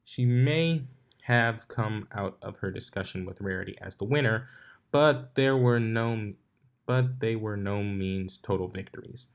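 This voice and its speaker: A man's voice: on the low side, a little slow. A man reading fan fiction aloud as narrator, in an amateur style with occasional stumbles over the words. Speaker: fanfiction narrator.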